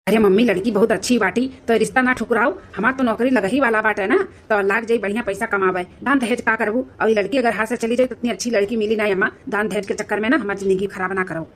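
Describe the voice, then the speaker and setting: A woman's voice: medium pitch, steady tone, fast talker, hardly any pauses, natural voice. A woman voicing a good-natured young bridegroom in a cartoon, pleading and insistent, with an Awadhi accent. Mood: pleading, reasoning. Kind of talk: cartoon voice acting.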